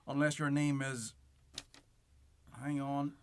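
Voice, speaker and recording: An actor playing a cold, officious eviction bailiff who speaks in formal legalese in a radio play. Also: male voice, medium pitch, monotone, takes it slowly, slight background noise, close to an everyday voice. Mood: commanding.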